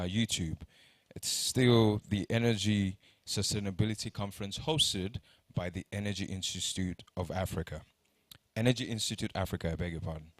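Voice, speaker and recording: A man's voice: fairly low pitch, no room sound, clean studio sound. A man, introducing conference speakers from the stage, reading their bios and stumbling now and then on names. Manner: reads bios, stumbles on names, jokes lightly. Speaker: conference emcee.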